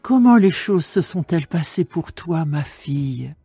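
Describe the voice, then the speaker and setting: An actress as an older biblical mother-in-law, caring and gently guiding. Her voice is mellow and husky, close and dry over music. She speaks slowly and solemnly, as in a scripture drama. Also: low-pitched, expressive, no echo, exaggerated voice. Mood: tender counsel. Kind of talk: dramatised scripture reading in French.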